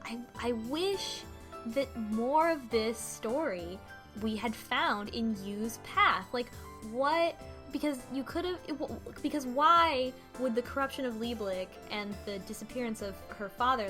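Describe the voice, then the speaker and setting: A woman co-hosting a talk show, casually retelling a story in a halting, conversational way. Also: on the high side, fairly bright tone. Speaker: podcast co-host. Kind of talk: casual recap discussion of a story.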